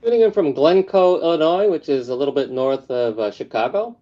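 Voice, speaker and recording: A man who came from Korea as a child and grew up in New York City, answering interview questions as a tech founder, pausing often with uh and um. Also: on the high side, expressive, lo-fi sound, a little hiss or hum. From Korea, raised in New York City. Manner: hesitant, many uh and um fillers. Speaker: tech company founder, guest. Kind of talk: interview answers on a remote call.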